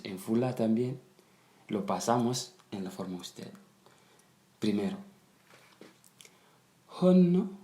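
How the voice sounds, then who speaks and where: Slow-speaking man teaching, echoey room. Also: on the low side, dark tone. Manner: deliberate, repeats phrases. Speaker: language teacher. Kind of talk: language lesson, phrase by phrase with repetition. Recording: roomy, echoing sound.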